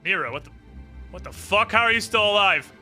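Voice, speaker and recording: A man livestreaming a game with lively, sarcastic, irreverent commentary and plenty of swearing. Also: high voice, fairly quick, faint music behind. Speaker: livestreamer playing an online role-playing game. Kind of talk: lively gameplay commentary with mock dialogue readings.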